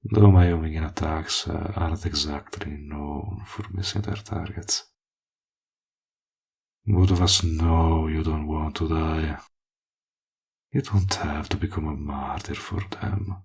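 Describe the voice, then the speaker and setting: A man playing a smug, menacing villain in an audio roleplay, taunting and mock-polite, possessive, with a gravelly, husky voice. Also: extremely low pitch, a little mellow, full character voice. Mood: possessive, condescending. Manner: taunting, mock-polite, deliberate drawl with drawn-out threats. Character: smug menacing male villain, possessive toward a former friend. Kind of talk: scripted audio roleplay drama.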